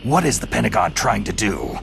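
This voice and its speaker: An actor playing a gruff, skeptical, battle-worn special forces soldier in a video game, terse, dry and intense, with a gravelly voice. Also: male voice, on the low side, very animated, fairly quick, a bit bright, exaggerated voice. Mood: tense questioning. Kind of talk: video game cutscene acting. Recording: music behind the voice.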